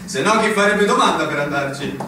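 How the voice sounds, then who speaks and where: Brusque captain, swooping high voice. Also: male voice, animated, fairly quick, sharp tone. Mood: lecturing. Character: older army captain, long-serving, formal, brusque, musing. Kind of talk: stage-like Italian radio drama acting. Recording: echoey room, distant mic, clear noise.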